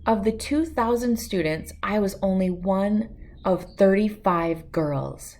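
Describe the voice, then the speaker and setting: A woman's voice: mid-range voice, animated, slow, darkish tone. A woman reading a children's picture book aloud as host, telling it expressively in the first person. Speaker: storybook read-aloud host.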